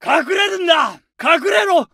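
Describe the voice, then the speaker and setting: A hot-tempered young soldier in a war game who snarls and shouts at his enemies. His voice is very high and sharply bright, and it sounds bone dry with no room around it. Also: lively intonation, a little slow, creaky, dry recording, heavily acted. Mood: commanding. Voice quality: shouted, taunting. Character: aggressive young male Japanese soldier, snarling. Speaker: soldier voice lines. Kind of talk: barked game voice lines.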